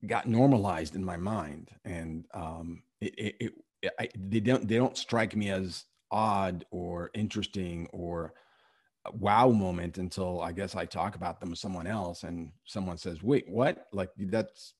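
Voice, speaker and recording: Brief: low-pitched man from Syria, interview guest, stumbling and earnest. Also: steady tone, darkish tone, husky. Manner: halting, many restarts and fillers. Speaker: podcast host and real estate investor. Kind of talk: conversational interview.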